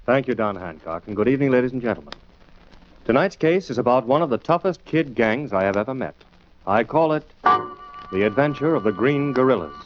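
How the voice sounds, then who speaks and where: Steady, authoritative male detective-narrator played by an actor, middle pitch, muffled old-time radio recording. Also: a little fast, over music, natural voice. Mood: formal, genial. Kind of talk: 1940s radio drama acting and narration.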